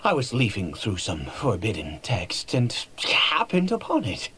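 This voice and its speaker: A man's voice: lowish voice, huge pitch swings, bright, forceful tone, a little hiss or hum, heavily acted. Unstable ancient nobleman, wildly swooping delivery. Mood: giddy, secretive. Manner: theatrical, halting, erratic swings between whispers and outbursts. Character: ancient cursed nobleman, frail, unstable, desperate, swinging between giddy and brooding. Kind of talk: dramatic video game voice acting. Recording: game audio with faint music behind.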